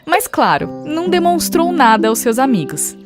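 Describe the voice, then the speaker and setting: High-voiced Brazilian woman storyteller over music. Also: big pitch swings, normal speed. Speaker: narrator. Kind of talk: scripted children's story narration. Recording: music behind narration.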